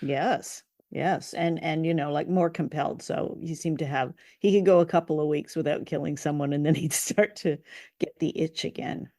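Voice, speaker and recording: A woman's voice: deep voice, fairly even intonation, normal speed, dark tone, dry recording, clean studio sound. A woman co-hosting a show, chatting casually and appreciatively about stories, with some hesitant phrasing. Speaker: co-host of an old-time radio drama show.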